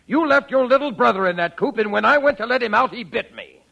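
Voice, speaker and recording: High, gravelly irate shopkeeper. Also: male voice, expressive, fast talker, sharp tone, exaggerated voice. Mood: indignant. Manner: blustering, indignant. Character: irate, grumbling male pet shop owner. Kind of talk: 1940s radio comedy acting. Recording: muffled, hissy 1940s radio recording.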